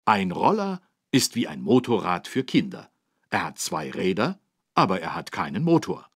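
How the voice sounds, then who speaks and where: A husky-voiced man narrating a children's learning game, explaining things in an encouraging, animated way with careful phrasing. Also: deep voice, moderate pace, noisy background. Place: dry studio.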